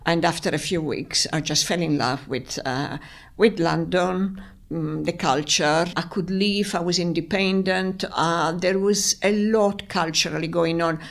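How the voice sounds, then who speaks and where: A woman recalls her move to London. Her voice sits a little low and carries a strong gravelly fry. She speaks hesitantly, with frequent fillers. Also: unhurried. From Italy. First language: Italian. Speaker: university lecturer. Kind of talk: interview answers.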